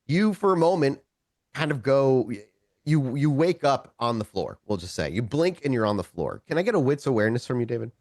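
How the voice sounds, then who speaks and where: Lively male tabletop game storyteller. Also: medium pitch, brisk, no room sound, clean studio sound. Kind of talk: casual improvised game narration.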